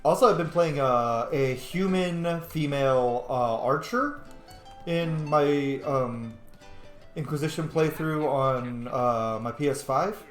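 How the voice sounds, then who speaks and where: A man's voice: on the high side, slow pace. A man chatting loosely over his playthrough from an echoey room, the kind of informal host heard on a long roleplay series. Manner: rambling, informal, sweary. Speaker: gaming video host. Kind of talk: casual let's play commentary and roleplay. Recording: roomy echo, game music behind. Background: video game music.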